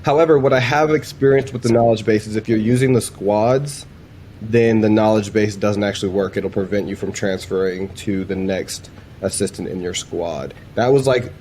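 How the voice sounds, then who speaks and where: A man's voice: lowish voice, moderate pace, darkish tone, slight background noise. A man hosting a demo video in a casual, laid-back, informal way, like a chatty tutorial. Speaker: video host demonstrating AI voice agents.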